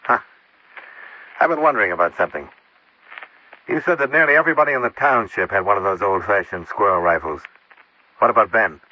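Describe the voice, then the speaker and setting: An American actor playing a shrewd, blunt insurance investigator in a golden-age radio mystery. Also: male voice, fairly low pitch, expressive, brisk, pauses often, slight background noise, lightly acted. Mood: probing, reasoning. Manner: blunt, probing, matter-of-fact. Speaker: lead actor in radio detective drama. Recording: muffled old-time radio recording.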